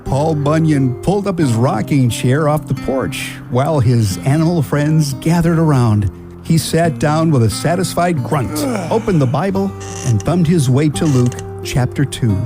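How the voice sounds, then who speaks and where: A man's voice: on the high side, animated, measured pace. A man narrating a scripted children's story as the narrator of a radio drama. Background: guitar music.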